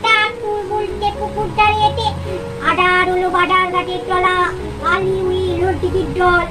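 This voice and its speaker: A cheeky little child doll in a puppet story, extremely high and squeaky. The delivery is sing-song and petulant. Loud music runs under the voice. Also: female voice, little pitch movement, normal speed. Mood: reciting. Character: cheeky bickering child doll reciting poems.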